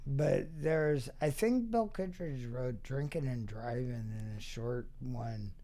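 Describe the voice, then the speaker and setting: A middle-aged American man chatting and reminiscing as an interview guest, speaking in halting fragments with a wry edge. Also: mid-range voice, a crowd in the background. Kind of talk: casual reminiscing chat.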